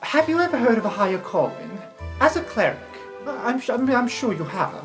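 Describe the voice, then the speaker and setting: A woman's voice: fairly high pitch, sing-song, a little fast, over music, pitched above the performer's own voice. A woman playing a sly, sardonic, wheedling noble sister in an improvised tabletop game. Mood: probing, defensive. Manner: wheedling, dryly sarcastic.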